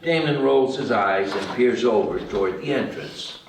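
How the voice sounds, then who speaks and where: A man's voice: mid-range voice, moderate pace, slight background noise. A man reading scripted screenplay stage directions as the narrator at a table read. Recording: very echoey room, distant mic.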